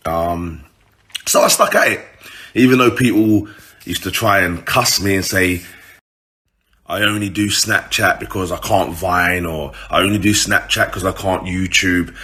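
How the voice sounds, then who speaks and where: Man, British urban accent, very deep voice, forceful and bright, slangy casual storytelling. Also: a little slow. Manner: informal, slangy, sweary. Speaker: online comedian telling his story.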